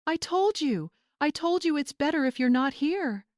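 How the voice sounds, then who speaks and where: A woman voicing an entitled, imperious young bride with a sharp, demanding manner in a dramatic story. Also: high-pitched, expressive, moderate pace, dry recording, studio quality, voice pushed up. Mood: hissing outrage. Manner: hissing, demanding.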